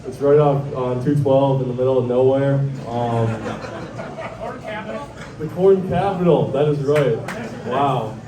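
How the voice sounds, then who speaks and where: An easygoing young man from Minnesota introduces himself with a self-mocking tone. His voice is mid-pitched. The sound carries from a distance through an echoey, crowded hall. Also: unhurried, pauses often, crowd noise. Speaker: college basketball player.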